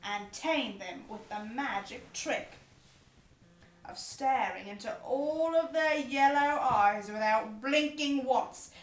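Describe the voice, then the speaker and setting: A woman with a put-on English accent reads a bedtime storybook in a theatrical, drawn-out, playful way. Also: high voice, a little hiss or hum. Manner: playful, drawn-out, dramatic delivery. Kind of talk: slow theatrical storybook reading. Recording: echoey room, home recording.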